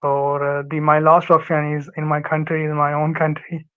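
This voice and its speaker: A young man from Pakistan with a Pakistani accent, Urdu his first language, giving halting advice as a guest with many fillers and restarts. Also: on the high side, monotone, smooth, narrow, tinny audio. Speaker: guest, former student. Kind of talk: casual interview advice.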